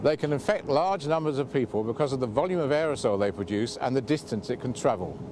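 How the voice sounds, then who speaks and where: A man's voice: medium pitch, expressive, quick pace. Middle-aged male expert, noisy outdoors. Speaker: expert, head of a laboratory unit. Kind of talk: prepared explanation to camera. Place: outdoors on a city rooftop. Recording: clear outdoor city background noise.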